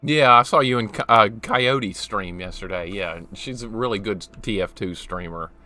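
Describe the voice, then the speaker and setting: Bright-voiced man in his forties. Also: fairly low pitch, moderate pace, faint music behind. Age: 40s. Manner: chatty, talks to chat while reacting to the game. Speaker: game streamer. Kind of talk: casual live-stream chat while gaming.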